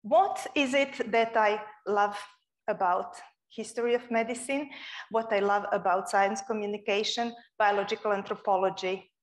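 A young woman from Croatia giving an earnest, expressive public lecture as a guest speaker in a lecture room. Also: mid-range voice, moderate pace.

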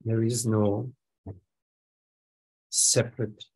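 Low husky guy doing guided meditation, super slow, lots of pauses between short phrases. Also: little pitch movement, a little mellow, rough recording. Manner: unhurried, sparse phrases with long pauses. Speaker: meditation teacher.

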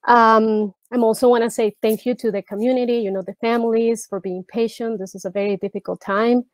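A middle-aged woman chairing a school board meeting from home over a video call, speaking earnestly with halting restarts. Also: on the high side, steady tone, no echo. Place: home room with brick wall. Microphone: earbud headset on video call.

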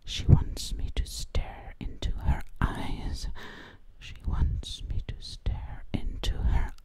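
An unhurried, airy whisper carries this ASMR roleplay, fearful and searching. The speaker murmurs worried questions and loops single words in soft repetition, never raising the voice above a hush. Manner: frightened, breathless questions to themselves.

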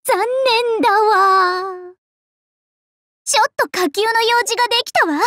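A woman's voice: extremely high pitch, slow, bright, forceful tone, no echo, studio quality, heavily acted. A woman voicing a vain, bubbly teenage dragon-girl idol princess in an anime game, with theatrical, singsong, boastful delivery. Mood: dramatic. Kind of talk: anime game character voice lines.

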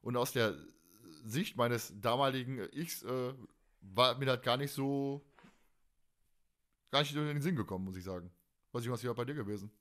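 Male podcast host, forties, medium pitch, animated and colloquial, loose rambling chat. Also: normal speed, long pauses. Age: 40s. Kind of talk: casual chat.

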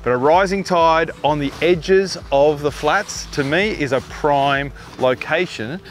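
A man's voice: on the high side, a little slow, a little hiss or hum. A middle-aged man with an Australian accent giving casual, matey instructional fishing commentary outdoors on a boat. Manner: informal, matey, explanatory. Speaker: fishing show host. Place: outdoors on a small boat on open water.

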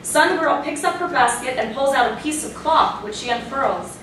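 In a very echoey room, a woman with a fairly high voice reads stage directions from some distance. Her delivery is scripted narration for a play reading. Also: lively intonation, moderate pace, fairly bright tone. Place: small theatre, recorded from audience. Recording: very echoey room, distant mic, faint noise.

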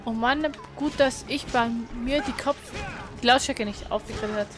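A woman's voice: a little high, normal speed, over music. A woman chatting casually as a gaming co-streamer, colloquial and a little teasing. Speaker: co-streamer reading and answering chat. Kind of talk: casual chat beside gameplay.

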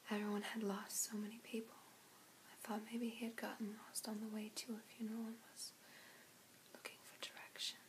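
A young woman in her twenties playing an ancient, protective vampire mentor in a whispered, soothing ASMR roleplay, with breathy, hushed delivery and long pauses. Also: mid-range voice, completely flat delivery, slight background noise, lightly acted. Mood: wistful, intimate. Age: 20s. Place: small indoor room.

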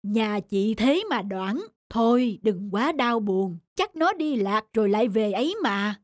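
A woman voicing a sly hawk villain who feigns kindly friendship, smooth-talking and persuasive, in an animated folktale. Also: medium pitch, unhurried, dry recording, clean studio sound, lightly acted. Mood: feigned sympathy. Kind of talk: animated children's folktale acting.

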